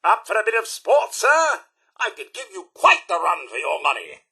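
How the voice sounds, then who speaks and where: An actor playing a prim, loyal robot butler in a video game, with a British accent and a formal, theatrical, fussily polite manner. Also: male voice, high-pitched, huge pitch swings, moderate pace, gravelly, pushed and bright, slightly rough, heavily acted. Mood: cheerful, proud. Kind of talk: scripted video game companion dialogue.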